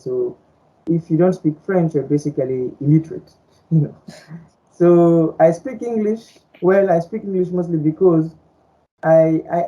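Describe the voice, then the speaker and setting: A man from Guinea whose first language is Fulani, explaining things at a measured pace with lots of "um"s as a podcast guest. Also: fairly high pitch, warm tone. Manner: measured, explanatory, with many fillers. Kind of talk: casual podcast discussion. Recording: dull lo-fi recording.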